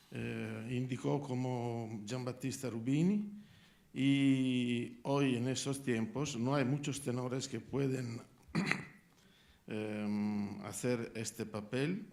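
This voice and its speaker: A man from Italy speaking Spanish with an Italian accent, asking a halting question at a press conference as a journalist. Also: medium pitch, fairly even intonation, darkish tone. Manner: halting, searching for words, clears throat.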